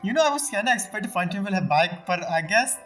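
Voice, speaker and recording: Woman streamer, casual game commentary, bright forceful voice, slangy, mocking, medium pitch, faint music behind. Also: lively intonation, quick pace. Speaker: gaming streamer reacting to story.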